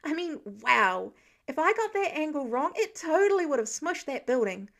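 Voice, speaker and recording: A woman voicing an excitable, apologetic teenage superhero boy who rambles breathlessly in an audiobook. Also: sits high, normal speed, gravelly, voice pushed up, heavily acted. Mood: excited, gushing. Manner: breathless run-on rambling with sound effects. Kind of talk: fan fiction audiobook dialogue.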